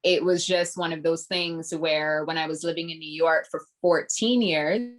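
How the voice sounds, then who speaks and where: Low-voiced Barbadian woman, earnest. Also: moderate pace. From Grenada. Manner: flowing, earnest, reflective with many ums. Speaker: guest. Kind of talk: casual interview talk.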